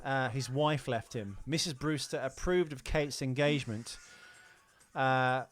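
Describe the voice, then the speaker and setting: A man's voice: mid-range voice, measured pace, soft background music. A man hosting a comedic film podcast, chatting casually in a jokey, crude, rambling way. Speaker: podcast host.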